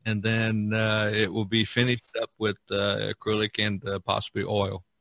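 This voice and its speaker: A middle-aged or older man, a painter and podcast host, talking casually about art in a halting, searching way. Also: on the low side, flat delivery, vocal fry, fairly bright tone, dry recording.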